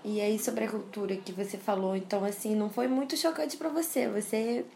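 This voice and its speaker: A woman's voice: medium pitch, fairly even intonation, fairly quick, creaky, a little mellow, a little hiss or hum. A woman interviewer who asks friendly follow-up questions and keeps the talk going with short "uhum" replies. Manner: prompts with short backchannels, asks follow-ups.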